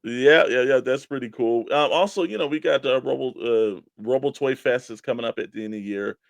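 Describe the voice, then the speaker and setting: A man's voice: medium pitch, fairly quick, gravelly, no room sound. American man co-hosting, dry close sound. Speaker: co-host of a toy collecting show. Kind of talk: casual radio-show chat.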